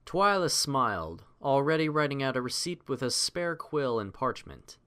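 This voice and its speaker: A man reading a scripted story as narrator, in an expressive, literary delivery. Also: mid-range voice, normal speed, clean tone.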